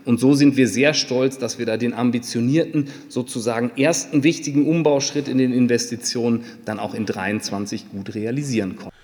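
A man's voice: mid-range voice, fairly even intonation, fairly quick, few pauses, a little mellow. A man giving a formal business presentation, explaining figures to listeners in corporate jargon. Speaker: company executive presenting figures. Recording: long reverb of a hall.

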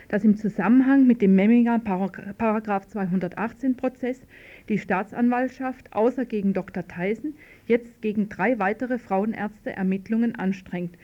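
A woman reading a scripted political report as a radio reporter. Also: medium pitch. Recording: slightly dull archive radio recording.

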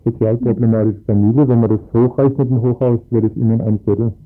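Casting: man, earnest local interviewee, medium pitch, narrow tinny sound. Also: flat delivery, fast. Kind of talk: political discussion in a radio interview. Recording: tinny phone-like band, music behind.

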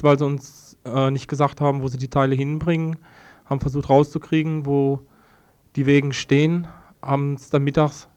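A man giving a spontaneous first-hand account as an interviewee, haltingly and with repeated words. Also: on the high side, a little mellow, smooth. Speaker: eyewitness interviewee, affected resident.

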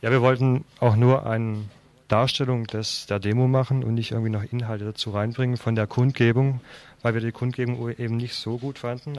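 Hesitant man with a medium-pitched voice. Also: darkish tone. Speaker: radio reporter. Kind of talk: live unscripted discussion with a caller.

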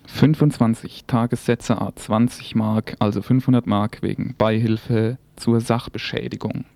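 A man's voice: mid-range voice, steady tone, unhurried, vocal fry, darkish tone, a little hiss or hum. A man reading scripted news commentary as a community radio presenter in a studio. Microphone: single shared studio microphone.

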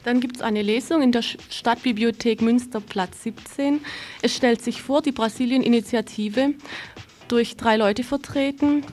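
A woman presenting event listings on the radio, reading informally and a bit haltingly. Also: fairly high pitch, darkish tone. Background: music.

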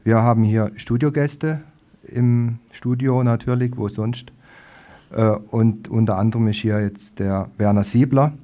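A man's voice: medium pitch, steady tone, unhurried, dark tone. A man hosting a live studio radio interview in an informal, slightly hesitant way, searching for his words. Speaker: radio host.

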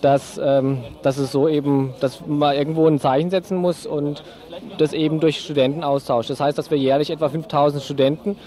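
A young man speaks as a student organiser interviewed outdoors in town. His voice is fairly high for a man, with a mellow tone. He feels his way hesitantly through spontaneous answers. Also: steady tone, a little fast. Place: outdoors on a city street.

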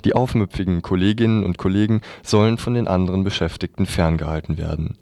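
Low, dry-sounding male radio newsreader. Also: fast talker, dark tone, no echo. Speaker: radio news reader. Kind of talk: scripted news reading.

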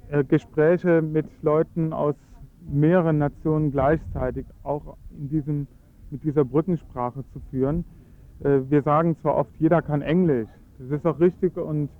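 Earnest German man on tinny tape. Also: fairly high pitch. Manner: earnest, measured explaining with frequent hesitations. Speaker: Esperanto advocate. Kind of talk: radio interview.

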